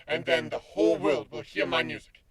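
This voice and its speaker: A man voicing a possessed female pony villain, cold, haughty and threatening, with a husky, rough voice. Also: medium pitch, sing-song, moderate pace, fairly bright tone, dry recording. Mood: cold menace.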